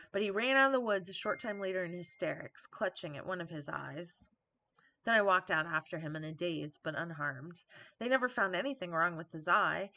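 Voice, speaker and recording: A woman's voice: on the low side, pushed and bright. A woman streamer reading a story game aloud with casual asides, in a live stream style. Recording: prominent music behind the voice. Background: guitar game soundtrack music.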